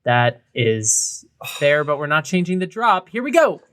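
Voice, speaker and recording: A man's voice: fairly high pitch, big pitch swings, measured pace, a bit bright, smooth. A man co-hosting a podcast, talking casually with a wry, joking manner. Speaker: co-host of a craft beer podcast.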